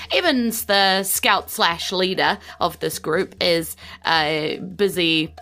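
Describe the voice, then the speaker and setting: A woman's voice: deep voice, gravelly, sharp tone. A woman, slightly sick with flu, chattily narrating a tabletop roleplaying game as its host. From New Zealand. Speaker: game master, podcast host.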